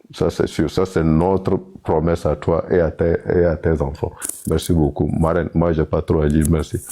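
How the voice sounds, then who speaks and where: A man's voice: a bass voice, dark tone. A young man with a Togolese accent giving an earnest, casual tribute, dropping in English connecting words. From Togo. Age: young adult. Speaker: participant and moderator in a live online panel.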